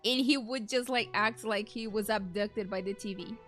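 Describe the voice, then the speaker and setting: A woman's voice: mid-range voice, normal speed, fairly bright tone. A young woman giving casual, chatty let's play commentary as a streamer, with a giggly, hesitant way of talking. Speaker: streamer playing a video game. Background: game music.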